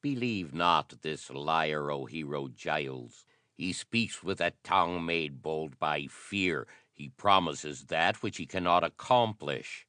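Man voicing grizzled officer, low pitch, slow menacing archaic speech, distrustful, storybook drama. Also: expressive, bright, forceful tone, no room sound, clean studio sound, exaggerated voice. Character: scarred veteran male officer, menacing and distrustful accuser.

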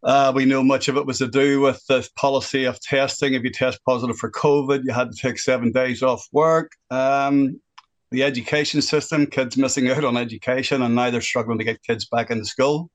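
A man's voice: medium pitch, fairly even intonation, fairly quick. A man in his sixties with a Northern Irish accent, a guest on a phone-in radio chat, speaking earnestly and at length about what bothers him. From Northern Ireland. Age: 60s.

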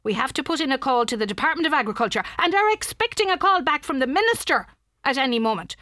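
Indignant, high-voiced Irish radio presenter. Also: female voice, expressive, fast talker, dry recording, clean studio sound. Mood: indignant, accusing. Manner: forceful, accusing, earnest. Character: indignant, confrontational female local radio presenter. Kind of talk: radio comedy play acting, current affairs interview.

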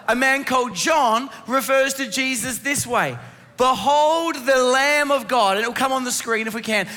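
A man's voice: extremely high pitch, sharp tone. A man preaching a sermon to a congregation in an instructive way, asking rhetorical questions, his voice strained by laryngitis. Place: church hall. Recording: reverberant hall sound.

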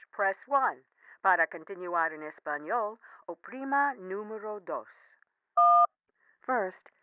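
A woman playing a cable company's automated phone menu in an audio comedy, speaking in measured, chirpy, formulaic customer-service prompts over a phone line. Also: medium pitch, dry recording, close to an everyday voice. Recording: band-limited telephone line sound.

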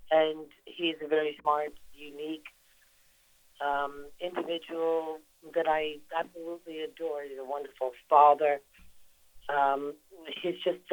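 A woman's voice: low-pitched, no echo, low-quality recording. An older woman, an actress, talking in a phone-in radio interview, chatty and rambling with lots of fillers and restarts. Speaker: actress guest. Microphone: phone call.

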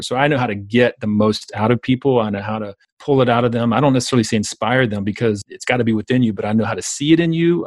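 Fast-talking man with a Southern US accent. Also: fairly low pitch, darkish tone, no echo. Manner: emphatic, repeats phrases for stress. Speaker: business coach, speaker and author being interviewed. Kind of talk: conversational interview, storytelling.

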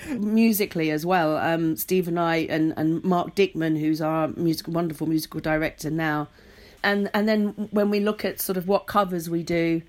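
A middle-aged British woman talking casually and in an animated way as an interview guest, a theatre writer and director. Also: fairly low pitch, normal speed.

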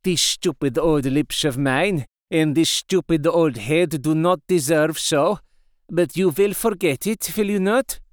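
A man's voice: fairly high pitch, clear, resonant tone, dry recording, clean studio sound, voice pushed up, lightly acted. A man doing a grave elderly professor. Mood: remorseful. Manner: solemn, formal, slow and deliberate. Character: wise elderly male professor, kindly and grave.

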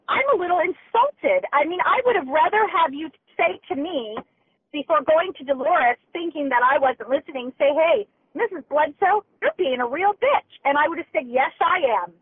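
A woman in her fifties calling in angry from her car over a Bluetooth phone, with a loud, whiny, nasal voice and an indignant, lawyerly way of talking. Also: high-pitched, no echo. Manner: indignant, lawyerly, repeats herself. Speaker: angry customer on a call. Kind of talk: heated phone complaint. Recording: tinny phone line, dry.

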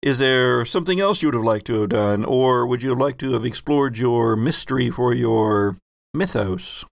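A man's voice: medium pitch, moderate pace, gravelly, no room sound. A man running a tabletop role-playing game as game master, prompting the players with easygoing questions.

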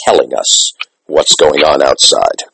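A man's voice: extremely low pitch, lively intonation, a little slow, gravelly, crowd noise, poor audio. A middle-aged or older man hosting an interview, speaking emphatically and conversationally like a teacher, repeating phrases for weight. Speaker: interviewer, communications and theatre teacher.

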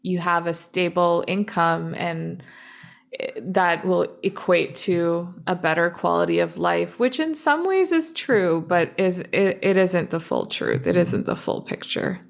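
A young woman who grew up in Canada, speaking as a guest in an interview and talking thoughtfully, with frequent pauses, fillers and restarts. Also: on the low side, big pitch swings, creaky. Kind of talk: reflective interview talk.

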